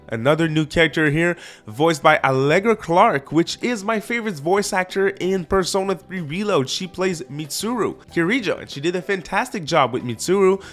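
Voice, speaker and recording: Excited French-accented man over faint music. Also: on the high side. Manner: enthusiastic, stumbles over names. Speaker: gaming news host. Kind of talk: casual news commentary reading from a website.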